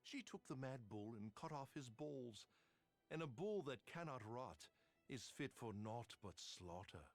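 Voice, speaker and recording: An actor playing a bitter, contemptuous traitor soldier in a fantasy game, taunting an old friend. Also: male voice, medium pitch, expressive, unhurried. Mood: bitter contempt. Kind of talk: theatrical game cutscene acting.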